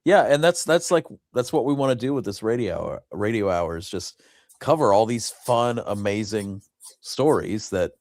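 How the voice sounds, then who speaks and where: A man's voice: on the low side, expressive, normal speed, a little mellow, dry recording, clean studio sound. A man co-hosting a podcast, chatting casually in an easygoing, laid-back way. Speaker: podcast co-host.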